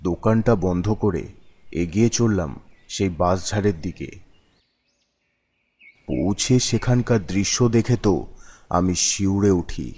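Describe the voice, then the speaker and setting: A man plays an uneasy shopkeeper narrating a horror cartoon. His voice is very low and dark, with slow, brooding delivery full of doubt. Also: husky, full character voice. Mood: grave. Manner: brooding, questioning. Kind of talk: first-person cartoon ghost-story narration.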